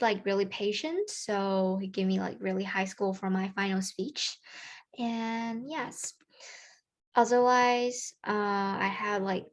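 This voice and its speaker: A teenage girl from China talks to her peers in English, with a Mandarin Chinese accent. She pauses often, fills gaps with "uh" and "like", and restarts her sentences. Her voice sits at a middle pitch for a woman. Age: teens. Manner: casual, halting, many fillers and restarts. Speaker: student presenter. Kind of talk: recorded presentation to peers.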